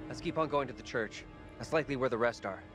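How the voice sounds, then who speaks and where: A man's voice: mid-range voice, fast talker, over music, natural voice. An actor playing a protective, reassuring young modern man in danger in a video game. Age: young adult. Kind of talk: naturalistic game cutscene acting.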